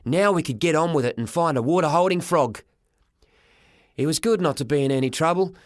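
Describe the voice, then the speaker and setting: A man narrating a children's story in the first person as an expressive audiobook reader. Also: a little high, brisk, dry recording, studio quality.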